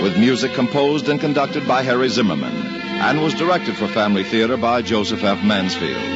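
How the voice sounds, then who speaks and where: A man announcing in a formal, measured 1950s scripted radio style. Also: fairly low pitch. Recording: muffled old radio recording, loud orchestral music.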